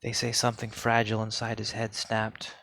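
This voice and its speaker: A man reads poetry aloud in a solemn spoken-word style, with deliberate, measured phrasing and pauses. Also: lowish voice, monotone, a bit bright, no room sound. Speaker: poetry reader.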